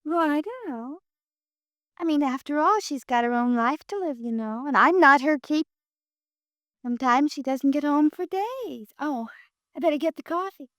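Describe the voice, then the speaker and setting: A coy young dancer. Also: female voice, sits high, animated, normal speed, fairly bright tone, dry recording, studio quality.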